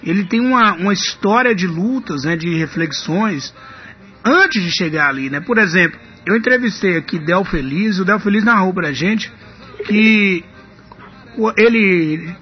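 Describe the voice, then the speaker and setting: A man's voice: high-pitched, expressive, a little slow, faint music behind. A man hosting a radio interview, chatty and full of tag questions. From Minas Gerais, Brazil. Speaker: radio host. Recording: dull radio broadcast.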